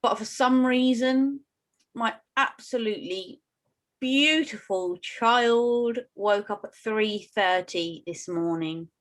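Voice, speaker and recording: A woman's voice: on the high side, takes it slowly. A tired woman, chatting in a colloquial, wry way as a podcast co-host. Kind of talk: casual chat.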